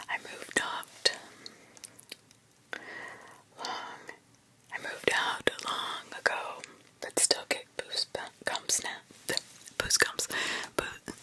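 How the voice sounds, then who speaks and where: A woman whispering spooky stories ASMR-style, pausing to react with disbelief and the odd swear. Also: bright, forceful tone, low-quality recording. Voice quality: whispered throughout. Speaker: ASMR storyteller reading scary stories.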